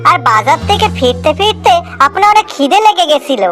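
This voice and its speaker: A woman's voice: extremely high pitch, big pitch swings, a little fast, a bit bright, soft background music, heavily acted. A woman voicing a young, eager, deferential servant and food hawker in a children's cartoon. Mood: earnest. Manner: deferential, chirpy.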